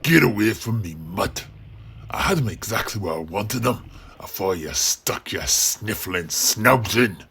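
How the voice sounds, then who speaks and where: A man voicing a gruff, belligerent berserker in a fantasy story, taunting in rough dialect with a gravelly voice. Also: on the low side, expressive, measured pace, fairly bright tone, full character voice.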